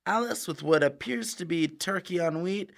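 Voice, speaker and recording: Breezy, cheeky high school boy played by a man. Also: moderate pace, gravelly. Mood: friendly. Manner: breezy, slangy, casual. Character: cheeky, easygoing, dim teenage American high school boy. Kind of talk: audio drama acting.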